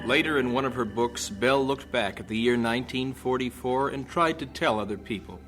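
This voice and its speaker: A man playing the narrator of a missionary life story in an audio drama, telling it in measured scripted prose. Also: medium pitch, over music, lightly acted. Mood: measured, serious.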